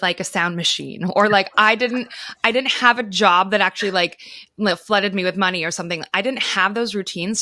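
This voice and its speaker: A woman's voice: medium pitch, sharp tone, no echo, studio quality. An American woman speaking as a podcast guest, telling her own story in a casual way. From United States. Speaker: podcast guest, travel guide founder.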